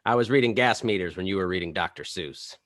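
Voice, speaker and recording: A man's voice: deep voice, fast, fairly bright tone. A man playing a wisecracking suburban dad close to retirement, in a hammy comedy table read. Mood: cheeky.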